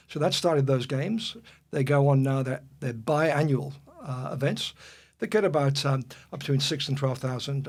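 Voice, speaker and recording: Older Welsh-born man reminiscing. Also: mid-range voice. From Wales. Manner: rambling with frequent fillers and restarts. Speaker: guest. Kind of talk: relaxed reminiscing.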